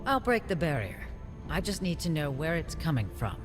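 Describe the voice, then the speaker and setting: Game warrior: grim, terse, resolute, lively intonation, music loud behind. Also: male voice, fairly high pitch, moderate pace. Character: grim determined male warrior out to defeat his brother. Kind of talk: video game cutscene acting.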